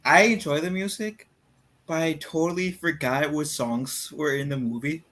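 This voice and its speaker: A man's voice: fairly high pitch, fairly even intonation, normal speed, fairly bright tone. Self-deprecating joking male panelist. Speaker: podcast panelist. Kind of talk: casual film review chat.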